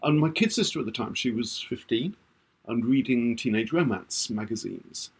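Husky older man telling a story. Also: medium pitch, expressive, normal speed. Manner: warm storytelling with hesitant restarts. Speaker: podcast co-host, writer. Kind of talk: casual chat.

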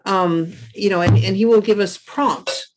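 A woman's voice: mid-range voice, unhurried, background noise. A woman talking casually as a writer, sharing her experience in conversational anecdotes. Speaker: writer on a discussion show.

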